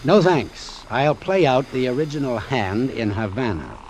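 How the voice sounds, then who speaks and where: A man's voice: mid-range voice, very animated, unhurried, darkish tone, slight background noise, poor audio. An actor playing a hard-boiled, wry doctor, narrating his ordeal tersely and dramatically in a vintage radio drama. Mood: defiant, sardonic.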